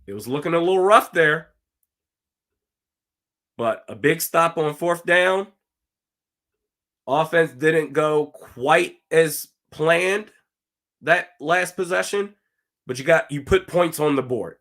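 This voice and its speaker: A man with a slightly raspy, hoarse voice, giving casual live commentary on a football game and reading out viewer comments. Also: fairly high pitch, slow, long pauses, fairly bright tone. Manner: casual, talks to chat, reads comments aloud. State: hoarse from screaming. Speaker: watch party streamer.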